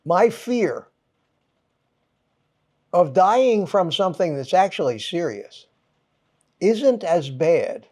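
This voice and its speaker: A man's voice: sits high, very animated, slow pace, pauses often, a little mellow. A man hosting his own channel, ranting to viewers in short, punchy, exasperated phrases and swearing for emphasis. He has a mild head cold. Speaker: channel host.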